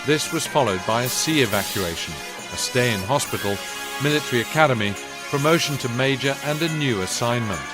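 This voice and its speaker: A man giving a measured, formal scripted narration of wartime history for a video game, in a solemn storytelling manner. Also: medium pitch, lively intonation, close to an everyday voice. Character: solemn male narrator of a Second World War history. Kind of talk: scripted documentary-style war narration. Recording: loud music under the voice. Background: loud music.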